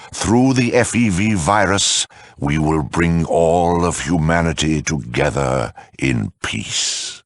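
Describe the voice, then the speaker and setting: An actor voicing a cruel, urbane super mutant lieutenant in a video game, mock-courteous, sardonic and theatrical, with a gravelly, raspy voice. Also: male voice, low-pitched, no room sound, full character voice. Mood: smug, zealous. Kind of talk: video game villain dialogue.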